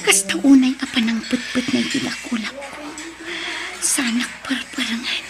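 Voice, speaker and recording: A woman's voice: high-pitched, steady tone, normal speed, over music. A woman playing a young wife, maid and daughter in a radio drama, emotional and pleading. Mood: chatty.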